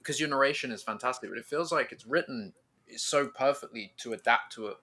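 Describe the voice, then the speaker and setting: A man hosting a podcast in casual chat, animated and encouraging toward the people he talks with. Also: mid-range voice, fairly quick, slight background noise. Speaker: podcast host.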